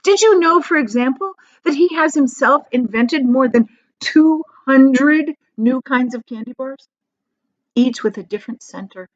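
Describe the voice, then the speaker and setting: A woman's voice: on the high side, dull audio, pitched above the performer's own voice, close to an everyday voice. A woman voicing an eager, emphatic ninety-six-year-old grandfather in a children's storybook read aloud. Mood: gushing.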